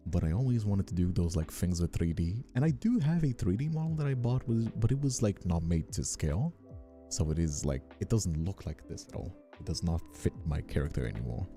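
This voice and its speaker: Male streamer, very mellow low voice with lively intonation, halting casual chat, music behind. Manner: hesitant, halting, often repeats words. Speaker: streamer, host.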